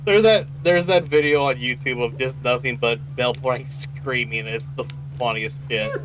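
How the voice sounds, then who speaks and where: A man's voice: mid-range voice, normal speed, fairly bright tone. A man chatting casually during a game stream, rambling and often restarting his sentences. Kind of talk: casual chat during gameplay. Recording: noisy with hum.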